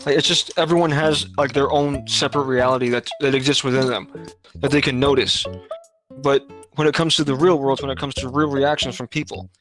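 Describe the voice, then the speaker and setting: Guy on a podcast call, rambles a lot, lots of ums and restarts, middle pitch. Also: steady tone, a bit bright, soft background music. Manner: rambling, halting, many fillers and restarts. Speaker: podcast host. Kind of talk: casual voice-call chat.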